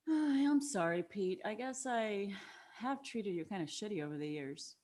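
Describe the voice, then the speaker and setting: A woman's voice: mid-range voice, expressive, unhurried, darkish tone. An actress playing a brash, rebellious twenty-one-year-old woman in a table read, flippant and defiant. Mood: apologetic.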